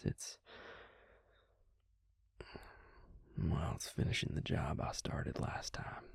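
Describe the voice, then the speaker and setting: Gravelly, hushed Southern vampire played by a man. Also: dry recording, studio quality, full character voice. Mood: gentle, reassuring. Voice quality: low, gravelly, breathy near-whisper. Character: gentle, courteous male Southern vampire, protective and unhurried. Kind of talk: intimate audio roleplay acting addressed to the listener.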